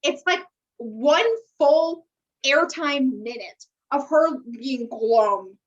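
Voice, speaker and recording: A woman's voice: high-pitched, drawn-out words, highs cut off. An American woman co-hosting a podcast in casual, emphatic chat peppered with slang. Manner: emphatic, uses swearing and slang. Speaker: podcast co-host. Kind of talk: casual chat about a TV dating show.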